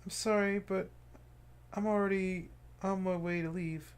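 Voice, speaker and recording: A man's voice: fairly even intonation, a little slow, clear, resonant tone. A man voicing a cheerful, clingy teenage girl from a visual novel, in a raised girlish voice that turns tearful. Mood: bubbly. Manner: high girlish voice, breaking into tearful pleading. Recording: faint game music behind.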